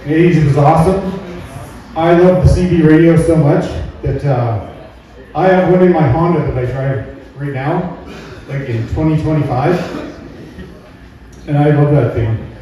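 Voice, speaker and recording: A man's voice: on the high side, unhurried, pauses often, mellow. A middle-aged Canadian man doing a folksy, rambling stand-up comedy routine, often checking in with the crowd. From Alberta, Canada. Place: live comedy venue indoors. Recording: very echoey room, far from mic.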